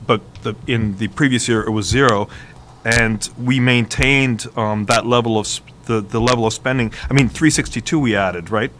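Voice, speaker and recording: A man giving figures and context on a school budget at a board meeting, measured and filled with ums. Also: medium pitch, fairly bright tone. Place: public meeting room.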